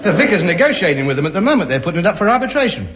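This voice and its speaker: A pompous, self-deluding man with a high voice holds forth grandly. His indignant bluster comes through a muffled old broadcast with steady hiss. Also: rapid-fire. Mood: grumbling. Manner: grandiose, indignant comic delivery. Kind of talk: 1950s radio sitcom acting.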